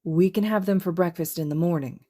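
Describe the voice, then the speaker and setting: Woman reading as a calm, low-voiced teenage boy. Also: fairly quick, lightly acted. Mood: calm, gentle. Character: protective, laid-back teenage boy, gentle with a child.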